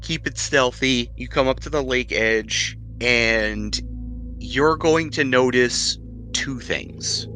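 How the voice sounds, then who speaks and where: A man narrating a tabletop roleplaying game as game master, improvising descriptions in a conversational, halting way. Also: mid-range voice, sharp tone. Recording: music playing behind the voice.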